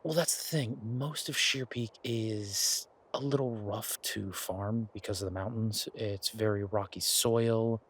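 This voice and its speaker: Husky, low-voiced boyfriend played by a man. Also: a bit bright. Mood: eager, persuasive. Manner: casual, halting, coaxing. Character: affectionate, eager boyfriend pitching grand ideas to his werewolf girlfriend. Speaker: audio roleplay performer. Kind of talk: one-sided boyfriend audio roleplay.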